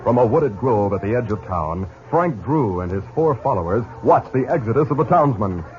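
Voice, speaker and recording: An actor narrating a 1950s radio western in a dramatic, formal announcer's delivery. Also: male voice, mid-range voice, expressive, normal speed, over music, close to an everyday voice. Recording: dull 1950s radio recording.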